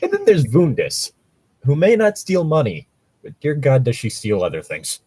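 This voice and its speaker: A man's voice: fairly high pitch, moderate pace, breathy edge, no room sound. A man chatting casually and jokily about tabletop roleplaying, with some offhand swearing. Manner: animated, jokey, swears casually. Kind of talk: casual chat.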